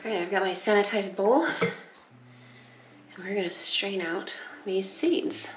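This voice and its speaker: A woman's voice: medium pitch, slow. A young woman casually talking through a home cooking project in her kitchen, chatty and laughing easily. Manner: chatty, laughs easily, coughs at pepper fumes. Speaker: homestead cooking vlogger. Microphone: wide camera on the counter. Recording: echoey kitchen with background noise.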